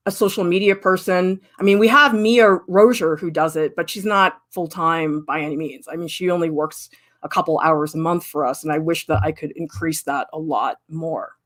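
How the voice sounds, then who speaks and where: A middle-aged woman art professor giving a candid, lively livestream critique and answering viewers' questions. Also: on the low side, moderate pace. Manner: candid, lively, emphatic, with frequent "I mean".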